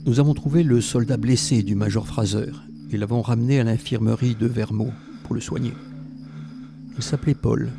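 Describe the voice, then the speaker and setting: A man plays a former Resistance fighter telling his memoir in an audio drama. His delivery is reflective, with a creaky voice of average pitch. Music plays clearly underneath. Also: natural voice. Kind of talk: scripted memoir narration.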